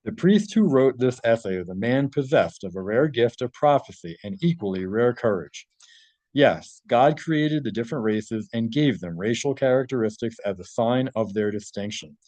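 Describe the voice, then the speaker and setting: A man's voice: mid-range voice, expressive, moderate pace, warm tone, dry recording. A man reading a translated article aloud and adding his own informal commentary.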